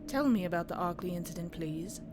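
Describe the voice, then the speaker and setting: A woman playing a formal, businesslike review board officer conducting a measured interrogation in an audio roleplay. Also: lowish voice, lively intonation, background noise, natural voice. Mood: businesslike, probing.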